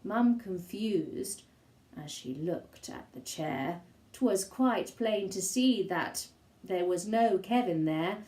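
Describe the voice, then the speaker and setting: A woman reading a rhyming children's picture book aloud, slowly and theatrically, in an expressive storytelling style. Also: medium pitch, reverberant, poor audio. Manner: theatrical, slow and deliberate, with gasps and asides. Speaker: storybook reader.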